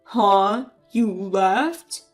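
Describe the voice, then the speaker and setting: A woman voicing a bullying, entitled husband who shouts and belittles his wife, with a lowered voice. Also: normal speed, faint music behind, natural voice. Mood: angry. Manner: yelling, belittling, then pleading. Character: bullying entitled husband, loud mama's boy.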